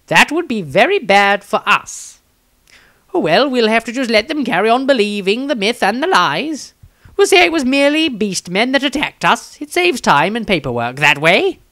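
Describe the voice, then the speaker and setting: A man voicing an excitable, cynical male adventurer musing theatrically in a storybook diary. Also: extremely high pitch, no room sound, clean studio sound, pitched above the performer's own voice, full character voice. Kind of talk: dramatic storybook reading.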